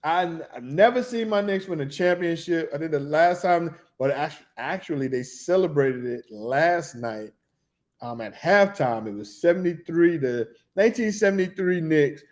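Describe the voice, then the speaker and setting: A man, talking loosely and excitedly about basketball as a podcast co-host in casual chat. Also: a little high, moderate pace. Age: 40s.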